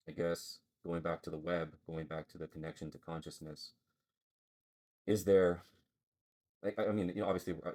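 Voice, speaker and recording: Very deep, flat-voiced man thinking aloud. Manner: thinking aloud, rambling and searching for words. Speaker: podcast co-host. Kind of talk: casual philosophical chat.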